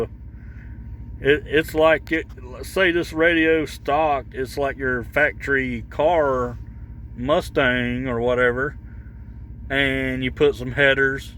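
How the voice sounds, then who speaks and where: A man giving casual, unscripted talk about gear for his vlog, halting and rambling as he searches for words. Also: mid-range voice, a bit bright, a little hiss or hum. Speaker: vlogger showing his CB radio.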